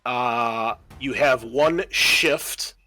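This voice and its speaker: Bright-voiced man running a tabletop game. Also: medium pitch, very slow, low-quality recording. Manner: improvised storytelling mixed with rules talk and dry asides. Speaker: game master. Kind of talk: narrating a horror tabletop roleplaying game. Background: background music.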